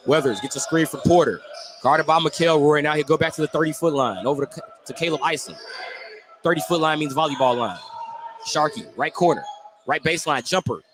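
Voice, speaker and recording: A man doing live basketball play-by-play on the radio, calling the action in short, clipped phrases. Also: on the high side. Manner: clipped running call of the action. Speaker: play-by-play commentator. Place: indoor gym during a game. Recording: noisy live sports venue broadcast.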